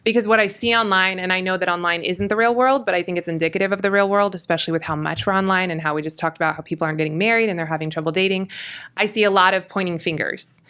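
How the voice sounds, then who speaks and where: A woman from California speaking as an interview guest, earnest and measured, often restarting her sentences. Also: lowish voice, fairly even intonation, a bit bright, clear, resonant tone. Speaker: interview guest, political commentator. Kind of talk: conversational interview.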